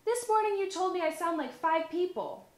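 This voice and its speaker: A woman's voice: very high-pitched, moderate pace, darkish tone, pitched above the performer's own voice. A woman voicing an eager, stubborn little girl pig in a storybook, with childlike insistence. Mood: cheeky. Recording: roomy indoor echo.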